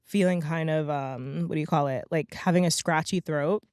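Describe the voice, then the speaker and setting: A young adult woman hosting her own podcast in a casual, motivational monologue. Her voice is slightly hoarse and raspy, and she talks emphatically, often tagging lines with "right?" and "okay?". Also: low-pitched, moderate pace, a little mellow, no room sound, studio quality. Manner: chatty, emphatic, peppers talk with "right?" and "okay?". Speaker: podcast host.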